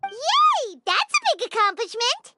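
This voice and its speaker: A woman voicing a tiny, childlike, chirpy and blunt fairy companion in a video game, with a squeaky voice. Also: wildly swooping pitch, unhurried, heavy background noise, heavily acted. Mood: delighted. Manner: childlike, exclamatory. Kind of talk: video game character acting.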